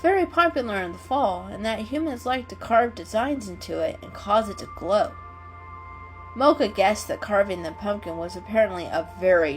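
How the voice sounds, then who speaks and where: A woman narrating a children's story as a dramatic reading. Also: medium pitch. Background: background music.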